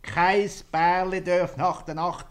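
A man's voice: high voice, level tone, takes it slowly. A man playing a jovial, gossipy tavern guest with a Swiss German accent in a 1950s radio comedy. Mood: amused, gossipy.

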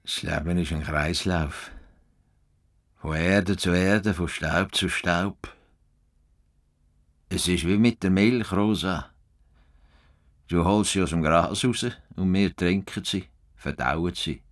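A man's voice: low-pitched, slow, pauses often. A man acting a stubborn, idealistic, cantankerous farmer with a Swiss German accent in a radio play. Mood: musing, low. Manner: blunt, swearing, grumbling.

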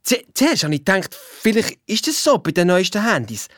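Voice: medium pitch, very animated, normal speed, a bit bright, no room sound, clean studio sound. An actor playing Luiz's part as a young schoolchild detective, with a Swiss German accent, retelling adventures colloquially and acting out what others said. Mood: amazed, confiding. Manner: colloquial, acts out quoted speech. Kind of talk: children's radio play, colloquial first-person retelling.

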